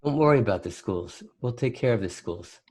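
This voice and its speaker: A man playing a brisk, businesslike federal agent in an audio drama. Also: mid-range voice, lively intonation, a little mellow, natural voice. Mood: brisk, firm.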